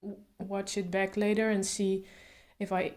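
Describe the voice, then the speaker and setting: A woman speaks calmly and thoughtfully, stuttering openly with repeated sounds and blocks. Her pitch stays flat and steady, with little rise or fall. She thinks aloud in a casual way. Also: a little slow. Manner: calm, reflective, thinks aloud with fillers. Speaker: vlogger. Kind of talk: casual talk to camera.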